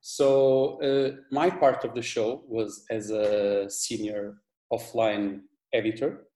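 A man with a Portuguese accent speaks slowly and haltingly, circling back over his words as he explains. His voice is mellow and dark. The room adds a noticeable echo. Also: on the low side, steady tone. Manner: halting, many restarts and fillers. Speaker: video editor, guest. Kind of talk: casual interview chat.

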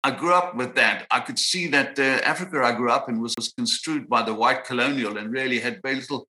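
A man in his sixties from Zimbabwe, a psychiatrist answering interview questions in a measured, reflective way with frequent pauses and restarts. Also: mid-range voice, pushed and bright. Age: 60s. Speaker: guest psychiatrist.

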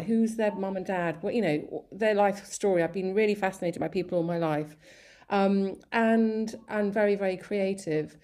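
A woman, talking as an interview guest. Her voice sits fairly low and sounds mellow and soft, and she rambles along chattily, circling back with fillers and restarts. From Sri Lanka, raised in England. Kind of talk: casual conversational interview.

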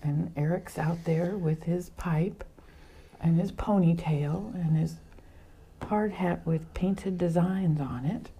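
A middle-aged woman born in Argentina and raised in Los Angeles, recalling her past with animated, laughing storytelling. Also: lowish voice, slow pace, a little hiss or hum. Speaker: interview guest, photographer. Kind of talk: casual storytelling.

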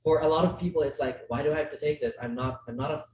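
A man's voice: on the high side, quick pace, darkish tone. Young Filipino man, podcast guest, easygoing, lots of fillers; roomy, distant mic, faint hiss. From Philippines. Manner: chatty, many fillers and restarts, laughs often. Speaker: guest, business school student. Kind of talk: casual podcast conversation.

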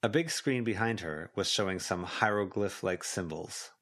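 A man's voice: deep voice, moderate pace, a bit bright. A man reading scripted fiction as a volunteer audiobook narrator.

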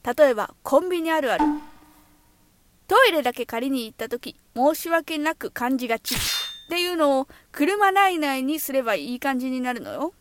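A woman acts a brisk lady teacher in a comic radio play. Her voice is high and very animated, firm yet encouraging. Faint noise sits on the recording. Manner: instructive, emphatic. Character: brisk, encouraging, strict female schoolteacher of samurai pupils.

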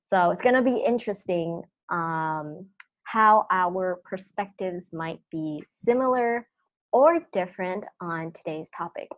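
A woman's voice: fairly low pitch, animated, a little slow, poor audio. A woman in her twenties hosting a podcast, chatting casually and explaining at length. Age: 20s. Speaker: podcast host.

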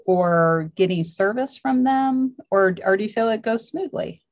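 A woman interviewer asks fluent questions and responds in a friendly, articulate way. Her voice has a middle pitch. The recording is a little dull and muffled. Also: lively intonation, measured pace. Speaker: interviewer host. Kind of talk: conversational interview. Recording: slightly dull sound.

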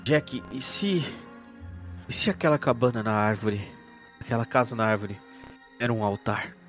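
A man playing an earnest, uneasy detective in a horror roleplaying game, with formal, deliberate phrasing. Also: medium pitch, faint music behind, close to an everyday voice. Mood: hesitant, curious.

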